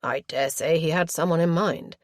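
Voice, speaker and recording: A woman voicing a brisk, tart married lady of the Regency era who speaks with crisp formality. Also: sits low, natural voice.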